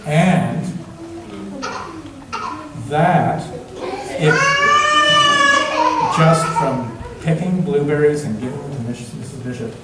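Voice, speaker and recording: A man reading a children's story aloud to all ages, expressive and dramatic, savoring the rhymes with emphatic pauses. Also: on the high side. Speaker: storyteller reading a picture book at a church. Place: large indoor church space, heard from a distance. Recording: echoey church hall, distant mic, faint noise.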